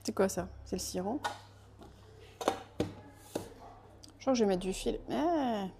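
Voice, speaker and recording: A young woman from France talks casually as she bakes in her workshop. She hesitates, fills pauses and restarts her sentences, in an everyday mid-range voice. Faint background noise sits under her. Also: mid-range voice, lively intonation, gravelly. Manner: chatty, hesitant, many fillers and restarts. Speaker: pastry baker vlogger. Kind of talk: casual chat while baking. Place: kitchen workshop.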